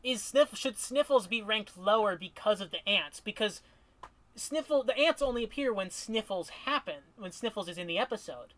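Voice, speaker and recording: A man's voice: high-pitched, animated, normal speed, sharp tone, rough recording. A man chatting casually on a stream, ranking cartoon characters and rambling through tangents with restarts, his voice wearing from long streaming. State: voice getting worn from streaming.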